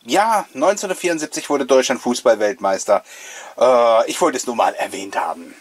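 A man's voice: mid-range voice, lively intonation, normal speed, slightly rough. A man, giving chatty, playful, nostalgic commentary as the host of a casual video show. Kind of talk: casual, jokey commentary on an old mail-order catalogue.

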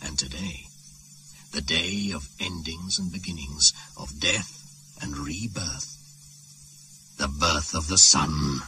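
A man's voice: very deep voice, slow pace, long pauses, sharp tone, slight background noise, exaggerated voice, rough recording. A man playing an ancient, menacing shaman spirit in a radio drama, speaking in an incantatory, ominous manner. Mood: hushed, beckoning. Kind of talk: 1980s radio drama monologue. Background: music.

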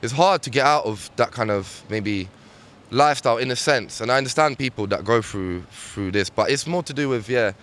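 A man's voice: mid-range voice, brisk, fairly bright tone. A man in his thirties speaks in a laid-back, reflective way into a handheld mic on a city street. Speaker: interviewee. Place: busy city street outdoors. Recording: outdoor street noise behind.

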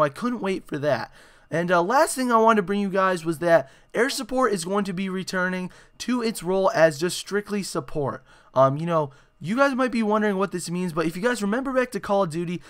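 A young man with a hoarse, raspy voice, giving excitable gameplay commentary full of "you know" and "uh". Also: sits high. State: hoarse after a concert. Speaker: gaming channel host. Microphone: desktop microphone.

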